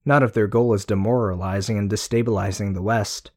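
A man reading a sharp, wry young woman in an audiobook. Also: fairly low pitch, fairly even intonation, a little fast, close to an everyday voice.

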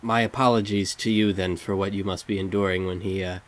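A man's voice: deep voice, steady tone, brisk. A man hosting a fan site interview, polite and earnest, hesitating with fillers. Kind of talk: casual interview.

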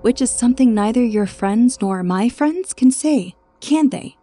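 A woman voicing an older spinster who is sharp-tongued, profane and quick to snap. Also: a little high, expressive, dry recording, voice pushed up. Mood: defiant. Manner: profane, cutting, snapping.

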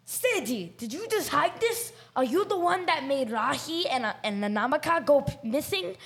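A woman's voice: high-pitched, big pitch swings, normal speed, a bit bright, full character voice. A young girl playing a bossy, suspicious police detective who questions bluntly in an amateur radio play. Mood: accusing. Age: child or teenager.

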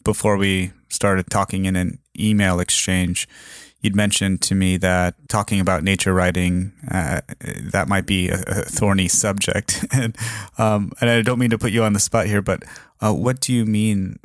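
Very deep flat-voiced male interviewer. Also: no echo, studio quality. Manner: measured, halting questions. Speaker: interviewer host. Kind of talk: interview conversation.

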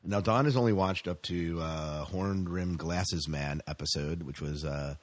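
A man's voice: sits low, a little slow, dry recording. A man co-hosting a podcast, chatting casually in an enthusiastic, rambling way as a fan of sci-fi shows. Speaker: podcast co-host.

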